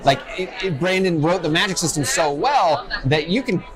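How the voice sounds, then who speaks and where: A man's voice: sits high, animated, normal speed. A man co-hosting a casual fan discussion, speaking in an opinionated, enthusiastic way, recorded at a noisy convention. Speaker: podcast co-host. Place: loud festival venue. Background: loud music.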